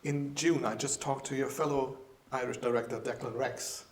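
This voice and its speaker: Male interviewer, mid-pitched, asks friendly, plain questions. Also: fairly even intonation, normal speed.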